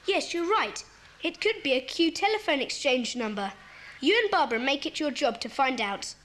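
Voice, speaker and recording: A woman playing a bossy, earnest schoolchild who leads a secret club in a children's audio drama, speaking briskly and commandingly. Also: high-pitched, expressive, a bit bright. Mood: brisk, organising. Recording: faint background noise, cassette audio drama.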